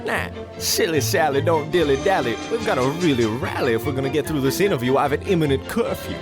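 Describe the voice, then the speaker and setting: An actor playing a rambling radio interviewer who talks in wordy, alliterative rhymes, in old-time radio comedy style. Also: male voice, a little high, expressive, fast talker, close to an everyday voice. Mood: chatty, showy. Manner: wordy, alliterative, sing-song rhyming. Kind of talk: 1940s radio comedy acting. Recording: music bed under the voice.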